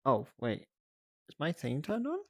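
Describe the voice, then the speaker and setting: A casual livestream host who talks at an unhurried pace and thinks aloud. The delivery is chatty and prone to giggles, with a mid-pitched voice. It is captured close and dry, with very little room sound. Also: wildly swooping pitch, a little mellow, dry recording. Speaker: livestreamer hosting a reaction stream.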